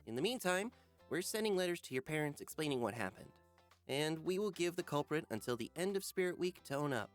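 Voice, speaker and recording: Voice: medium pitch, lively intonation, a little fast, faint music behind, close to an everyday voice. An actor voicing an upbeat, dry-humored male middle school teacher in a visual novel, talking theatrically to a class. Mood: announcing, coaxing. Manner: theatrical, addressing a class of children. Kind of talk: animated visual novel character acting.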